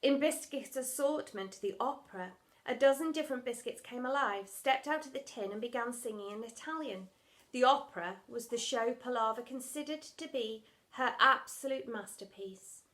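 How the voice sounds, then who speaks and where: A woman's voice: on the high side, normal speed, a bit bright. A woman narrating a children's storybook, reading scripted text as a primary school storyteller.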